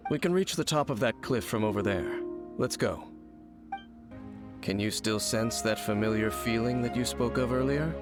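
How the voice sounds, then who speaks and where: An actor playing a world-weary, brooding wandering warrior in a video game, speaking in a grave, formal, measured and aloof manner. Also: male voice, mid-range voice, close to an everyday voice. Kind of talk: video game character dialogue. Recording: music behind the voice.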